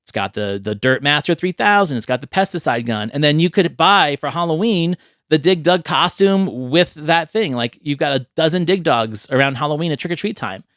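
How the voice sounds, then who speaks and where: A man's voice: fairly high pitch, lively intonation, normal speed, fairly bright tone, no echo. Enthusiastic man chatting on a podcast, dry sound. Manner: enthusiastic, rambling. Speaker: podcast host. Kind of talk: casual chat about movie ideas.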